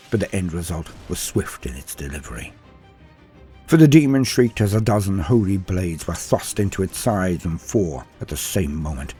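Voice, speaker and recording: A man with a fairly low voice narrates dark fantasy battle fiction over clearly audible music. His delivery is grave and dramatic, rising with emphasis and falling away into quieter phrases. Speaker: storyteller and narrator of a fan lore channel. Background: music under the voice.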